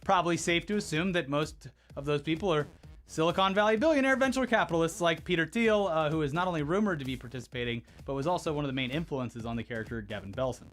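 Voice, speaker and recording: A man co-hosting a scripted comedy news show, animated and sarcastic, laughing often. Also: on the high side, fast, soft background music. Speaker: co-host.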